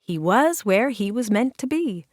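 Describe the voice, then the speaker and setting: A woman reading a children's picture book aloud in an expressive, measured narration with deliberate dramatic pauses. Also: on the high side, dry recording, studio quality. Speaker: children's storybook reader.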